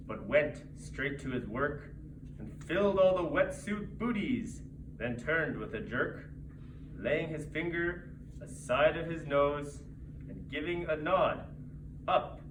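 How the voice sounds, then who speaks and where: A man theatrically reciting a rhyming holiday story as a storyteller, in measured phrases. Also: a little high, expressive. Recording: distant mic, echoey noisy room.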